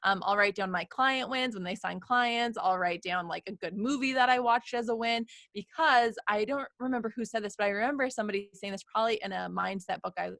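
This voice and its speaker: A woman's voice: medium pitch, fairly quick, gravelly, few pauses, fairly bright tone, no echo. A woman hosting a podcast as a coach, chatting in an upbeat, encouraging way and often checking in with "right?". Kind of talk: casual interview chat. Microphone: video call.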